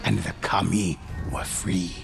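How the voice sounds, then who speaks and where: A man giving grave, measured scripted narration for a game, over loud music. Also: deep voice, fairly even intonation, creaky.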